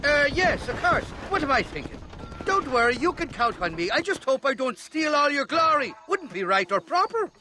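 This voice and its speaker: High-voiced, glib Irish outlaw. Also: male voice, sing-song, normal speed, pushed and bright, exaggerated voice. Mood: nervous bluster. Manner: glib, wheedling, jokey. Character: shifty, blarneying Irish outlaw, cowardly and boastful. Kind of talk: video game cutscene acting. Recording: noisy outdoor game sound effects.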